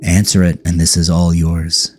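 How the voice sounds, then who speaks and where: A man's voice: low-pitched, level tone, normal speed, darkish tone, faint music behind, natural voice. A man voicing a tough, determined woman police detective with a terse, demanding manner in an audiobook.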